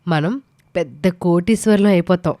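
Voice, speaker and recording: A woman's voice: lowish voice, moderate pace, warm tone, dry recording, studio quality, lightly acted. A woman playing a scheming, sweetly persuasive middle-aged village mother in a moral story. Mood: sly, plotting. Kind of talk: dramatised Telugu moral story.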